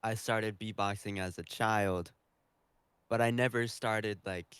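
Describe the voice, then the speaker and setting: A man with a low, very clear and resonant voice speaks as a podcast guest. He answers in a casual, conversational way. The sound is close and dry. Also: steady tone, measured pace, a bit bright, dry recording. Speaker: podcast guest, competitive beatboxer.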